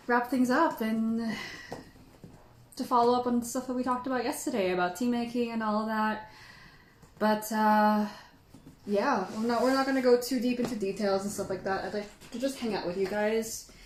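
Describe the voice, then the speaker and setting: A woman's voice: a little high, fairly even intonation, normal speed, roomy sound, a little hiss or hum. A woman hosting a casual livestream, chatting in a sentimental, giggly, informal way. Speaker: livestream host and tea teacher.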